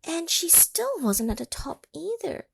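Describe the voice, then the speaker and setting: A woman reading a picture book aloud to children, pausing to ask them questions and sometimes dropping to a whisper. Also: very high-pitched, gravelly, dry recording. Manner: animated, asks the listening children questions; drops into whispers for effect. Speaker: children's story reader. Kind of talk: read-aloud storytime for children.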